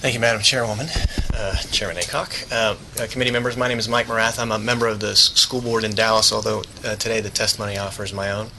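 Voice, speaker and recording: A man giving earnest, prepared testimony to a committee, halting often with filler sounds. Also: on the low side, flat delivery, background noise. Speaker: school board member testifying. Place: legislative hearing room.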